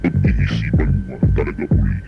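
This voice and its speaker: A man's voice: rumbling low, wildly swooping pitch, moderate pace, gravelly. A man delivering scripted station identifications as a radio announcer, recorded from the radio onto cassette. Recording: dull radio cassette, loud music.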